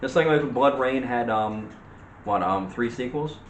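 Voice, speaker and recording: A man co-hosting a casual movie chat, giving opinions in a halting, rambling, repetitive way. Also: medium pitch. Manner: halting, rambling, repeats himself. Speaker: co-host of a movie discussion show. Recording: roomy echo with faint background noise.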